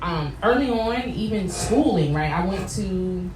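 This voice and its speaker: A woman's voice: medium pitch, animated, measured pace. An American woman answering as a podcast guest in a reflective, emphatic, conversational way, often checking in with the listener. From New Jersey, United States. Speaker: guest, wellness coach and therapist. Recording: roomy echo, distant mic, loud background noise.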